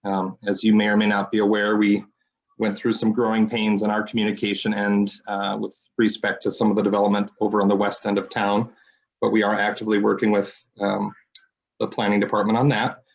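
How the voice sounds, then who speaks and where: A man with a deep voice giving a brisk citizens council report at a city meeting. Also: monotone, gravelly, lo-fi sound.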